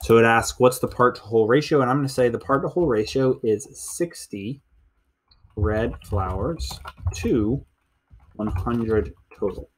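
A man's voice: mid-range voice, unhurried, husky, rough recording. A man in his thirties with an American accent, a teacher explaining a lesson step by step in an instructional screencast. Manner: step-by-step explaining, counting aloud. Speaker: middle school teacher.

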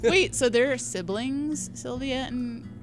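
Female gaming co-host, casual and giggly, animated higher voice, clear music underneath. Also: slow. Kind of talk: casual giggly let's play commentary.

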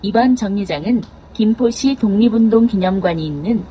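A woman's voice: medium pitch, a little fast, dark tone. A woman reading a scripted bus stop announcement. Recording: clearly noisy announcement recording.

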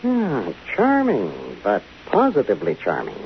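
A man's voice: medium pitch, wildly swooping pitch, a little slow. An actor playing a suave, flirtatious, self-important male film director with an affected, theatrical manner, in 1950s radio drama style. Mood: charmed, gushing. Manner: affected, theatrical, smooth-talking. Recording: muffled 1950 radio broadcast, hissy.

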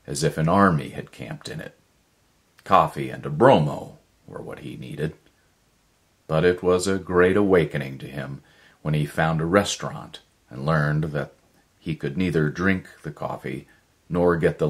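A man in his fifties reading a story as a voice actor, in a measured, expressive, dramatic narration. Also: rumbling low. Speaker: voice actor, audiobook reader. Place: living room, indoors.

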